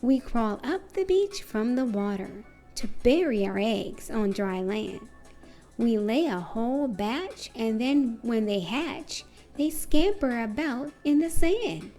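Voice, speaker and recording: An earnest mother turtle read by a woman tells of herself slowly in a high voice. The manner is gentle picture-book storytelling. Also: expressive, soft background music. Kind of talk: children's storybook read-aloud.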